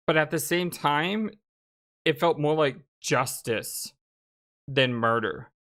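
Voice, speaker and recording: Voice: mid-range voice, a bit bright. A gaming channel host giving casual, reactive let's play commentary in an exclamatory way. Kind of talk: blind let's play commentary, casual chat and reading dialogue aloud.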